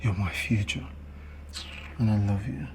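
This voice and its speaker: An actor playing a placating young boyfriend in a screen drama, coaxing and imploring. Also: male voice, fairly low pitch, unhurried, creaky, darkish tone, slight background noise. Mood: soothing, earnest. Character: placating young boyfriend soothing his angry partner.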